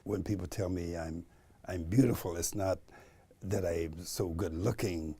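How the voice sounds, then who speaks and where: Slow, deep-voiced man in his seventies. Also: warm tone, breathy edge. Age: 70s. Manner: thoughtful, halting with fillers. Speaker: interviewee. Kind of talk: documentary interview.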